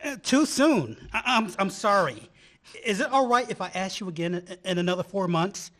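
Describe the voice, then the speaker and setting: Very high-voiced, smitten suitor played by an actor. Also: male voice, animated, normal speed, pushed and bright. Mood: hurt, flustered. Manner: stammering, hesitant. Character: earnest, smitten, gushing male suitor, Colin. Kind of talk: radio play acting.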